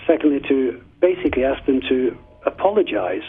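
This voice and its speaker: Male cleric interviewee, very rough husky voice, measured and polite, muffled radio sound. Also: mid-range voice, big pitch swings. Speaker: clergyman, subdean. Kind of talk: media interview.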